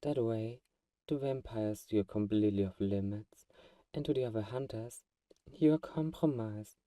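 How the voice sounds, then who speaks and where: A man playing a teasing, possessive vampire who is friends with a hunter, in an intimate ASMR audio roleplay with sighs and soft chuckles. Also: on the low side, unhurried, no room sound, distant mic, natural voice. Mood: protective, possessive.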